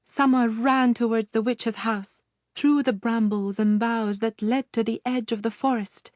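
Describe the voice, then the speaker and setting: Female fairytale audiobook narrator, unhurried and expressive, mid-range voice, dry studio sound. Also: on the high side, no echo. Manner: measured, expressive storytelling.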